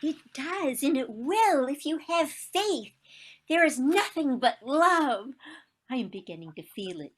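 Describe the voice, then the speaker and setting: A woman acting a wistful, romantic elderly woman in a stage play, with dramatic, deliberate delivery. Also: high voice, big pitch swings. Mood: fervent, hopeful. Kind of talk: one-act stage play performed on a video call.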